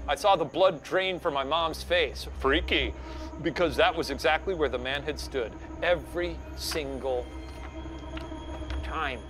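A man's voice: on the high side, a little slow, noisy background. A man reading out viewer stories with jokey asides, playful banter with co-hosts. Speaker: comedy show co-host reading stories.

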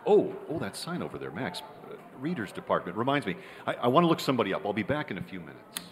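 Wry screenwriter, swooping in a theatre. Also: male voice, on the low side, very animated, quick pace, slight background noise. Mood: casual, brusque. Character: wry, cynical male screenwriter narrating his own story. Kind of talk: old-time radio drama acting. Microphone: vintage-style stand microphone on theatre stage. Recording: reverberant theatre stage recording.

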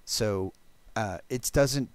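A man presenting a technical programming demo, explaining step by step in a halting, thinking-aloud way. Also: fairly low pitch, clear, resonant tone. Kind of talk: technical talk with live code demo.

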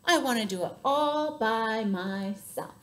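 A woman's voice: high voice, sing-song, moderate pace, warm tone, voice pushed up. A woman voicing a polite, independent little girl elephant in a children's story, in a simple, earnest way. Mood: determined.

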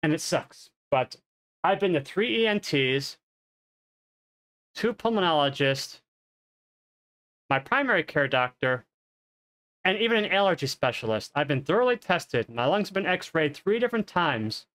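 Streamer, male, 30s, nasal and congested, fairly high voice, talks casually and rambles on a headset mic. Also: very animated, measured pace, pauses often, fairly bright tone. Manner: rambling, self-correcting. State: congested, coughing. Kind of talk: casual health update. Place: home streaming room.

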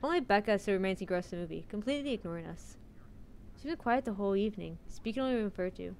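A woman's voice: medium pitch, lively intonation, rapid-fire, darkish tone, slight background noise. A woman streamer reading a visual novel's narration aloud into a close mic.

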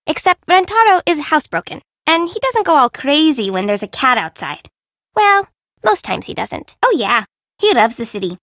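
A woman's voice: high voice, very animated, moderate pace, a bit bright, no room sound, studio quality, exaggerated voice. An actress voicing a flippant, sassy young fashion-minded city girl in a video game, with a teasing, slangy, blunt way of talking. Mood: chatty, teasing. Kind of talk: video game character dialogue.

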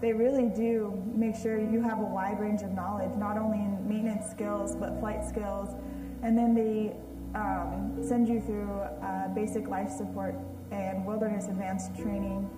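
A woman's voice: mid-range voice, flat delivery, measured pace. A young woman, a flight student, speaking enthusiastically in a hangar workshop interview. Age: young adult. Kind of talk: documentary interview. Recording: roomy, distant, under music.

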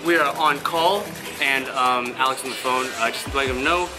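A man's voice: medium pitch, normal speed, poor audio. Upbeat young guy filming his meal prep biz, lots of ums, music blasting behind. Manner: loose, upbeat, lots of fillers. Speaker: meal prep business owner. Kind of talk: casual vlog narration. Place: commercial kitchen and gym. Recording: loud background music.

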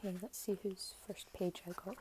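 Slightly hoarse, mellow young woman. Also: mid-range voice, flat delivery, a little fast, a little hiss or hum. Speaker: K-pop album unboxing vlogger. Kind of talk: casual unboxing commentary. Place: bedroom, filming items on a bed.

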